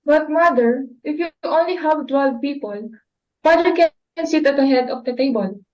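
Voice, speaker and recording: A young woman reading the part of a sensible young daughter who calmly offers her mother solutions. The voice is high and earnest. The recording is dull and lo-fi. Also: normal speed, lightly acted. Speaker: student actor. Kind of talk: amateur dramatic reading.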